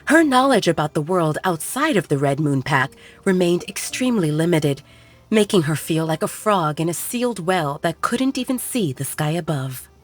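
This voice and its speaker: A woman reading a romance story aloud as a calm, even narrator. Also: on the low side, moderate pace, soft background music. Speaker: audiobook narrator.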